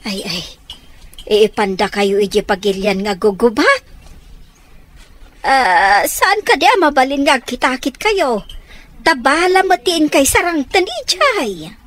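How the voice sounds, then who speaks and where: A woman's voice: sits high, very animated, fairly bright tone, exaggerated voice. An actress playing a plaintive middle-aged village mother in a radio comedy-drama, drawing out her words with sighs and exclamations. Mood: anxious. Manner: drawn-out, sighing, exclamatory.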